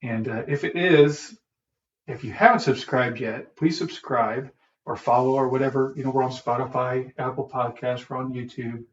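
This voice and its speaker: A relaxed man co-hosting a podcast, talking easily and laughing often. The sound is roomy and dull, as if he sits away from the microphone, with a faint hiss behind. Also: mid-range voice, normal speed, far from the mic. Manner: easygoing, warm, laughs often. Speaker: podcast co-host. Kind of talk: casual chat.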